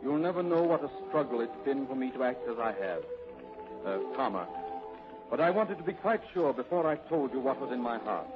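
Brief: male actor for suave, volatile gentleman in vintage radio drama; very animated, dull period recording with music behind. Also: medium pitch, fairly quick, close to an everyday voice. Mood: tender, wooing. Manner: theatrical, volatile, courtly. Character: suave, excitable businessman, exasperated then tenderly romantic. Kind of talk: 1930s radio drama acting.

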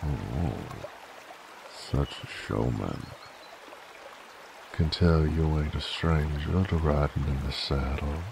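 A man's voice: slow pace, long pauses, over music, full character voice. A man playing a dominant, teasing werewolf bounty hunter in a seductive, menacing roleplay ASMR, with a drawling, growling delivery. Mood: commanding, seductive. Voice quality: deep gravelly growl. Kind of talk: intimate roleplay ASMR, slow and breathy.

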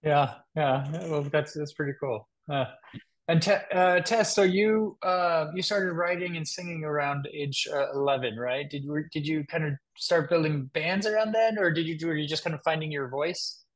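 A man in his thirties hosting a casual interview over a video call from his home, asking questions with halting restarts. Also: on the high side. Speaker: podcast host. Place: home room.

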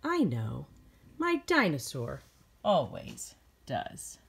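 A woman's voice: sits low, huge pitch swings, takes it slowly, vocal fry, breathy edge. A middle-aged woman reading a children's picture book aloud expressively, with simple phrasing for young listeners.

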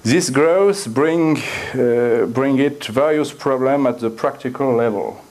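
A middle-aged man with a French accent, giving an academic lecture at a lectern in a university room, often halting and repeating words as he looks for the phrasing. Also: medium pitch, darkish tone. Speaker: guest lecturer.

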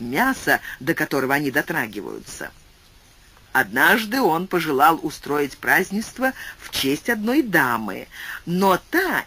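An audiobook narrator giving a scripted literary reading and reading explanatory footnotes aloud. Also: husky. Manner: animated reading with wide pitch swings.

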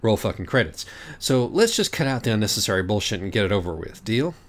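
A man's voice: lowish voice, big pitch swings, quick pace. A man voicing a scheming, foul-mouthed writer in an audiobook, urgent and impatient.

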